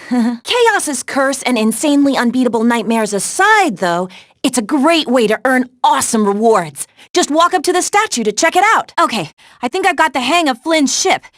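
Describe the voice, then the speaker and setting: A woman playing a spirited, capable young adventurer who guides missions in an animated video game, with a peppy, expressive, conversational delivery. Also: sits high, moderate pace, dry recording, clean studio sound. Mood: friendly, briefing, then eager, confident.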